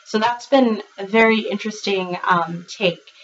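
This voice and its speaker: A woman giving a rambling, chatty tarot reading to her viewers, a little raspy and congested from a cold, with many fillers and restarts. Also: medium pitch, measured pace, a bit bright, recorded from a distance, lo-fi sound. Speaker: tarot reader. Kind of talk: casual monthly tarot reading to camera.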